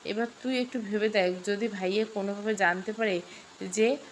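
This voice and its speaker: A woman's voice: medium pitch, steady tone, normal speed, slight background noise, close to an everyday voice. Woman voicing an anxious older brother. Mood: worried. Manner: worried, foreboding. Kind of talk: storybook dialogue.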